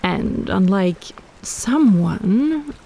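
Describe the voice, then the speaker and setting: A woman voicing a possessive, flirtatious female kraken in a romantic roleplay audio, teasing in a sultry, playful, unhurried way with sighs. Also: medium pitch, expressive, vocal fry, warm tone, a little hiss or hum, exaggerated voice. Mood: flirty teasing.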